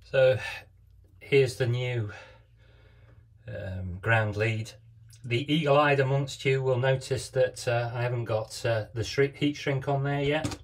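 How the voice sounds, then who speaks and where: Man, 50s, boat vlogger, slow easygoing delivery, mid-pitched, lots of ums, phone-camera sound in a cramped cabin. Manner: relaxed, hesitant, many fillers. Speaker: sailing vlogger. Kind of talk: casual how-to vlog. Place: small boat cabin, indoors. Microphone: handheld phone camera.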